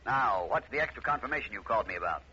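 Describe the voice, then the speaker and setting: An actor playing a self-assured, urbane amateur detective in a 1940s radio drama, precise and cultivated in manner. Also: male voice, mid-range voice, expressive, racing, sharp tone. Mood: confident, brisk. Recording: muffled, noisy old radio recording.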